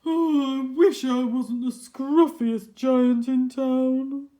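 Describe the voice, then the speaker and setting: A woman voicing a big, scruffy, kind-hearted male giant in a children's storybook, loud, hearty and sing-song. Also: sits high, fairly even intonation, takes it slowly. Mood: wistful.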